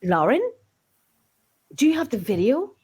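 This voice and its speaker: Irish woman host, chatty, quick to laugh, easygoing group chat. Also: a little high, big pitch swings, darkish tone, husky. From Ireland.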